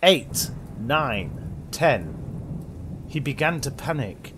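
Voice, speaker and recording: A young British man hosting and narrating horror in a theatrical old-radio style, with deliberate pauses. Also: mid-range voice, sing-song, background noise. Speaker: host and narrator.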